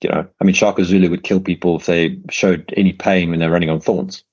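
A man's voice: sits low, little pitch movement, fast, darkish tone, highs cut off. A man with a South African accent hosting an interview, rambling in casual chat with many restarts and repeated words. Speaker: podcast host.

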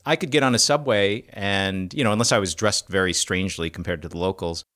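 A man's voice: sits low, a little fast, a bit bright. A man co-hosting a podcast in casual conversation, chatty and self-mocking. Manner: chatty, laughs at himself.

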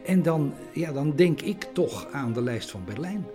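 A man talking reflectively in an interview, hesitant and halting, often repeating his phrases. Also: on the high side, expressive, over music.

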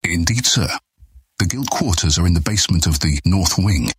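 Man acting a very deep, solemn, ominous guild deputy. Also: expressive, husky, no echo, studio quality, full character voice. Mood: deferential. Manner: formal, measured, courteous understatement. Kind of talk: fantasy audiobook character acting.

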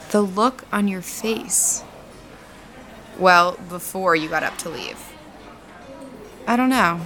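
A woman's voice: lowish voice, sharp tone, a little hiss or hum. A woman acting in a naturalistic audio drama as a confident, dryly teasing, blunt farm owner.

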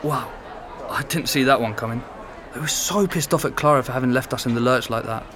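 An actor playing an exasperated, chatty former flatmate telling stories in an animated, conversational audio drama style. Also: male voice, medium pitch, brisk, a crowd in the background, noisy background, natural voice. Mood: surprised, annoyed. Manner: animated storytelling, mimicking and exclaiming.

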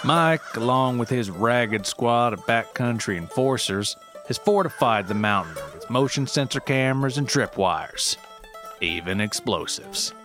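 A man reading a scripted documentary-style story in a deadpan, measured, mock-serious manner. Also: mid-range voice, over music. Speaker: writer and narrator.

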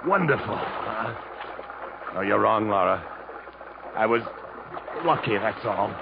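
An actor playing a resolute, commanding adventurer hero in a 1950s radio drama, speaking earnestly and in a clipped way. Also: male voice, lowish voice, animated, slow pace, gravelly, noisy background, heavily acted. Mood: urgent, modest. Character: resolute adventurer hero fleeing through jungle, also narrating. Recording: muffled old radio broadcast with music.

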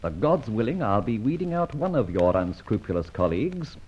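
Suave gentleman adventurer, lively swooping delivery. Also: lowish voice, moderate pace. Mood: brisk, resolute. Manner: polished, formal, wryly teasing. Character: suave gentleman adventurer, cool, teasing, secretive masked rogue. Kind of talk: old radio drama acting. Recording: muffled old radio recording, background hiss.

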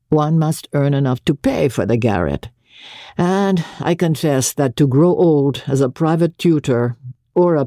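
Young tutor for literary audiobook, played by a woman: lively intonation, soft mellow tone, earnest and courteous. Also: rumbling low, no room sound, clean studio sound, heavily acted. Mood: wistful, eager. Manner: earnest, philosophising, polite. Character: eager, impecunious young Frenchman, an intellectual private tutor.

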